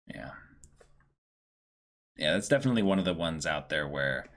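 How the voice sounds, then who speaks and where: A man's voice: rumbling low, expressive, moderate pace, a bit bright. A man co-hosting a livestream, chatting casually and reading out viewer comments in an agreeable, appreciative way. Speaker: co-host of a livestream reading audience messages.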